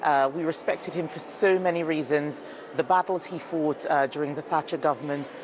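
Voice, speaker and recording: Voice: medium pitch, moderate pace, background noise. A politician giving a tribute to the press in a street interview recorded outdoors.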